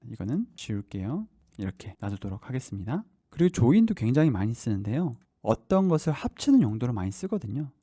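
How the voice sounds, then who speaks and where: Lively dark-voiced man teaching code. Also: mid-range voice, no room sound, clean studio sound. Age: 30s. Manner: explains step by step while typing, asks the listener questions. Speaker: coding instructor. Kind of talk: screen-recorded programming tutorial.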